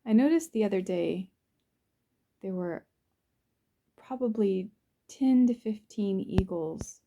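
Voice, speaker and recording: A woman narrates a guided visualization in a soothing, unhurried way, in short phrases with pauses between them. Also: medium pitch, animated, vocal fry, warm tone, a little hiss or hum. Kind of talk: guided visualization, first-person present-tense narration.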